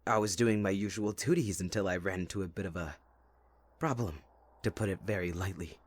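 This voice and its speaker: Husky, low-voiced winter spirit, acted by a man. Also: fairly quick. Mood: uneasy, protective. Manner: teasing, dramatic, addressing the listener closely. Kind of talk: intimate ASMR audio roleplay acting.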